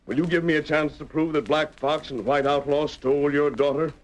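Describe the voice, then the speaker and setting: Man acting a calm, commanding masked western hero. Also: a little high, natural voice. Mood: assured, persuasive. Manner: measured, formal, reasoning. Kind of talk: 1940s radio acting. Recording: noisy vintage radio recording.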